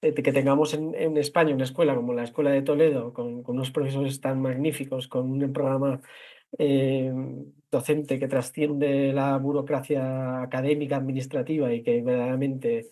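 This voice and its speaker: A man's voice: mid-range voice, level tone, normal speed, dark tone. A man with a Castilian Spanish accent hosting a talk, speaking discursively and spontaneously, often repeating and rephrasing as he goes. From La Mancha, Spain. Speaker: host introducing architecture award winners. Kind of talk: spontaneous moderated online talk.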